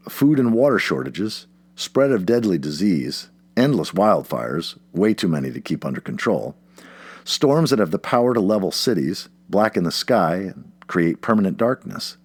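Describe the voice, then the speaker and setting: A man reads an essay aloud in a rough, husky voice. His intonation swings widely as he stresses points with dramatic emphasis. The recording is dry and close, with little room sound. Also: fairly low pitch, dry recording. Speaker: audiobook narrator.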